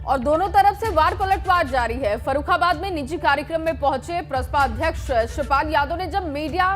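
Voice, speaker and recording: A woman's voice: high voice, brisk, fairly bright tone, faint music behind. A woman anchoring a scripted television news bulletin.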